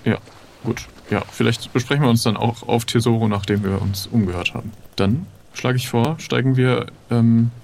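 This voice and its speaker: Man, player, casual improvised role-play, hesitant, polite, creaky voice, mid pitch, light ambient noise. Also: fairly even intonation, normal speed, a little mellow. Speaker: player in an improvised adventure game.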